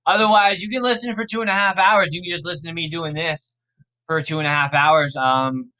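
A man hosting a podcast, thinking aloud in a casual, rambling way, his voice a little raspy and worn. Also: a little high, steady tone, brisk, sharp tone. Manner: rambling, laid-back, self-correcting. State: slightly raspy, tired voice. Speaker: podcast host. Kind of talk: casual thinking aloud during a fantasy draft.

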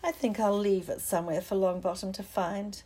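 A woman's voice: mid-range voice, steady tone, moderate pace, dark tone, slightly rough, natural voice. A woman doing a sneering schoolboy bully. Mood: taunting. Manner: sneering, taunting.

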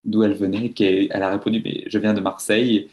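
Brief: male host, very deep voice, relaxed, hesitant chat. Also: quick pace, dull audio. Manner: hesitant, with many fillers. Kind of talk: casual commentary.

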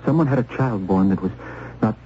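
A man's voice: on the low side, fairly quick, lightly acted. An actor playing a compassionate, tormented young man in an old-time radio drama, hesitant and intense. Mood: probing, troubled. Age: young adult. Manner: hesitant, intense, breaks off and repeats. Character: tortured, compassionate young man, earnest would-be rescuer. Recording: muffled, faintly noisy old radio recording.

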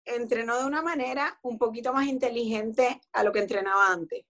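Chatty woman in her forties, high voice. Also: fairly quick. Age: 40s. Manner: relaxed, explanatory, many fillers and "entonces". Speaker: guest. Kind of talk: casual conversation about fitness.

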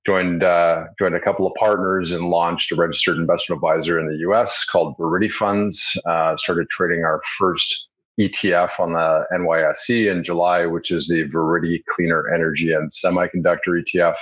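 A man's voice: low-pitched, little pitch movement, normal speed, a bit bright, husky. A man speaking conversationally as a finance professional and guest on a panel interview. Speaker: guest, fund manager. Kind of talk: conversational self-introduction on a panel. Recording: dull, lo-fi sound.

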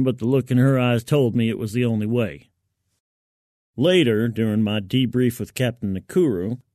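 Male fiction reader, medium pitch, colloquial and wry first-person storytelling, very dry recording. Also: a little mellow, no room sound. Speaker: fiction narrator.

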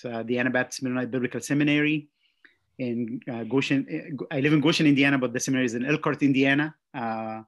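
A man, a seminary teacher and former pastor, speaking in a measured way as a guest at a church service, often pausing with "uh" and "um". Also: mid-range voice, steady tone, highs cut off. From Egypt. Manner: measured, many "uh" and "um" hesitations.

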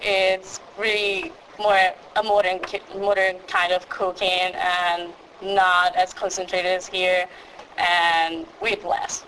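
A woman's voice: fairly low pitch, flat delivery, slow, fairly bright tone, a little hiss or hum, poor audio. Confident teen girl, Italian accent. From Milan, Italy. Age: teens. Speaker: exchange student guest.

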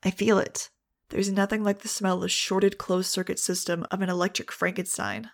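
A woman's voice: medium pitch, normal speed, natural voice. A woman voicing a happy, easygoing teenage boy in an audiobook reading. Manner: pleased and relaxed.

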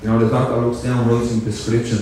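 A man's voice: medium pitch, flat delivery, fast, warm tone, recorded from a distance, slight background noise. Dublin man in an echoey hall. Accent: Dublin Irish. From Dublin, Ireland. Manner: nervous, self-joking, loose with many fillers. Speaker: speaker sharing his story at a convention. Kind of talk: personal recovery talk. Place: large hall on stage. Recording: echoey hall, picked up from afar.

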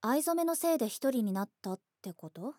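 ぶっきらぼうな女子高生を女性が演じる, 照れ隠しの口調, 反響のない音. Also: female voice, fairly high pitch, animated, brisk, warm tone, no room sound, clean studio sound. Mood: earnest. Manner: curt, awkwardly earnest. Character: blunt, awkward high school girl. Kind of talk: voice drama acting.

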